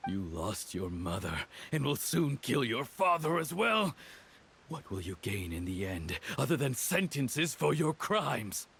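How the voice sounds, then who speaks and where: Slow, theatrical villainous baron. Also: male voice, medium pitch, very animated, gravelly, a bit bright, slightly rough, exaggerated voice. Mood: bitter taunting. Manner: theatrical, mocking, drawn-out delivery. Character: smug, cruel aristocratic villain baron and father. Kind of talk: dramatic video game acting.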